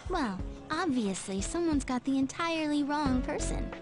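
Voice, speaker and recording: A coy, willful young woman in a video game, high-pitched and lilting. She teases with very lively swings of pitch over clear background music. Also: normal speed. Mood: puzzled. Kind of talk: video game cutscene voice acting. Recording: music under dialogue.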